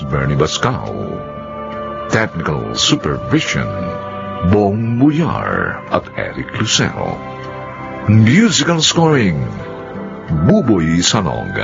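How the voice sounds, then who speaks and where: A deep, creaky Filipino man announcing a radio drama over clear background music. His solemn, theatrical narration swings dramatically in pitch and moves deliberately. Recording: muffled radio broadcast with music bed.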